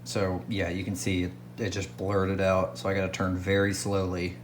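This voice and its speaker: A man's voice: deep voice, monotone, normal speed, reverberant. A man casually talking to himself while testing an antique radio, thinking aloud and easily amused.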